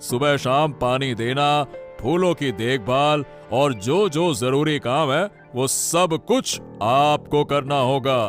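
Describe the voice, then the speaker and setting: A man voicing a commanding, pompous king with a deliberate, authoritative manner in a Hindi cartoon story. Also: medium pitch, faint music behind, close to an everyday voice. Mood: smoothly commanding. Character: commanding, imperious king giving orders to subjects.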